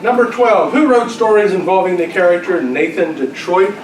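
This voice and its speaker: A man's voice: high-pitched, normal speed. A man hosting an informal club trivia quiz as quiz master, joking and bantering with hecklers. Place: busy club luncheon room. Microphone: far from the speakers. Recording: echoey, distant mic in noisy crowded room. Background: loud crowd chatter.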